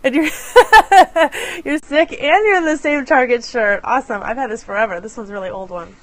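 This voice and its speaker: A woman's voice: high-pitched, moderate pace, a bit bright. Stuffed-up woman chatting on a live stream. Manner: chatty, apologetic, greets viewers by name. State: congested with a head cold. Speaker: live stream host for small business owners. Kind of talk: casual live stream chat. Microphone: phone camera.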